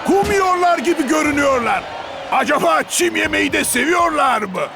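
A man playing an excitable, mocking male match commentator in a cartoon football dub, with a gravelly voice. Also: extremely high pitch, moderate pace, over loud music, over a noisy crowd, full character voice. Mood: excited, mocking.

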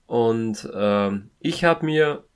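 A man in a casual podcast discussion, speaking haltingly as he searches for his words. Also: mid-range voice, lively intonation, clear, resonant tone.

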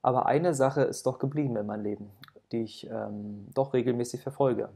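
A man's voice: medium pitch, a little fast, gravelly, dark tone, husky. A young man in his twenties with a Hamburg German accent, hesitantly greeting viewers in an old vlog clip recorded on an earphone mic. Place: small room indoors. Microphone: earphone headset mic.

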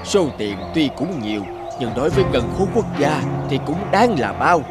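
An actor playing a scheming court official in a cartoon, deferential and coaxing. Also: male voice, fairly high pitch, big pitch swings, moderate pace. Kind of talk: animated cartoon voice acting. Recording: loud music under the voice.

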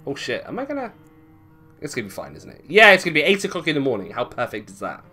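Animated, playful man commentating on gameplay. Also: mid-range voice, fast, breathy edge, faint music behind. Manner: playful, chatty, sweary, thinks aloud. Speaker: gaming video host.

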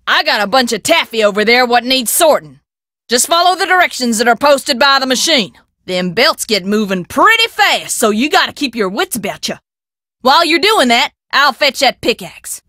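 A woman's voice: fairly high pitch, pushed and bright, dry recording, studio quality. An actress voicing a folksy, sassy woman who keeps a candy shop in a video game, teasing and scolding in a rural American accent. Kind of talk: video game character acting.

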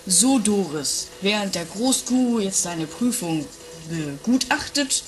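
A woman playing an earnest, slightly pompous instructor who speaks in a halting, placating way in an improvised comic radio play. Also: medium pitch, expressive, over music. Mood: solemn, instructing.

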